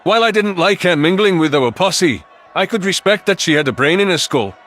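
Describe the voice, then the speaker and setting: A man's voice: high voice, lively intonation, a little fast, a bit bright. A man reading dramatic first-person science fiction narration as an audiobook narrator.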